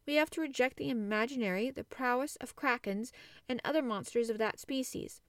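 A woman's voice: fairly high pitch, a little fast, dry recording, studio quality, pitched above the performer's own voice, natural voice. A woman voicing a learned male naturalist professor in a storybook reading, explaining patiently and earnestly. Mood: lecturing.

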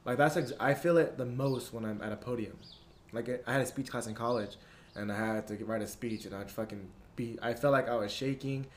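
A man's voice: medium pitch, a little fast. Laid-back young man, slangy podcast host. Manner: laid-back slangy talk, lots of "like" and "you know". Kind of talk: casual podcast chat.

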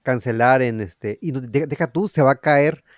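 A man with a Mexican accent co-hosting a casual comedic chat, relaxed and hesitant with many fillers. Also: medium pitch, normal speed, no echo, clean studio sound. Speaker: co-host.

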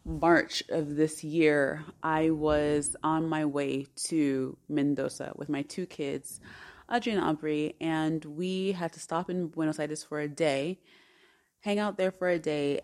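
A woman's voice: deep voice, fairly even intonation, unhurried, darkish tone. A woman in her thirties, a podcast host, telling a personal story in an earnest, chatty way. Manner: chatty and earnest, with many fillers and restarts.

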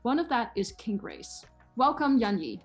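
A woman co-host reads a scripted introduction for the guest, delivering it evenly and smoothly. Her voice sits somewhat higher than usual. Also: big pitch swings, measured pace, faint music behind. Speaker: podcast co-host.

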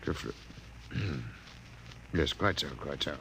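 An actor playing a formal, precise male Martian visitor in a 1950s radio drama, speaking in stiff, measured phrases. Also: very deep voice, expressive, gravelly, a bit bright, a little hiss or hum, full character voice, rough recording. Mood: formal, composed.

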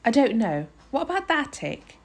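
A woman's voice: on the high side, sing-song, moderate pace. A woman voicing an earnest, anxious young boy with an urgent, questioning manner in a storybook reading.

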